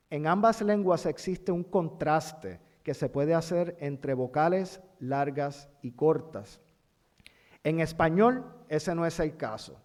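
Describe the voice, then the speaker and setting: Male lecturer, Puerto Rican accent, raised pitch, unhurried and halting delivery. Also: a little mellow. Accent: Puerto Rican Spanish. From Puerto Rico. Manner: halting, many fillers, spells things out slowly. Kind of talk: university lecture. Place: university amphitheater.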